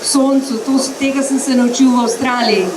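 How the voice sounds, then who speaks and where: A woman interpreting a talk aloud for an audience, quick and full of restarts. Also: high-pitched, fairly even intonation, background noise. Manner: quick, with restarts and fillers while translating. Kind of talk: consecutive interpreting. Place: large hall with an audience. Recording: echoey hall with crowd.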